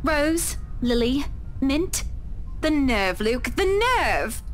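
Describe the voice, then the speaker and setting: A woman's voice: high voice, expressive, extremely slow, voice pushed up, exaggerated voice. A woman voicing a haughty, well-to-do wife from a visual novel, prim, clipped and sarcastic. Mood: sarcastic, indignant. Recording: faint music behind voice.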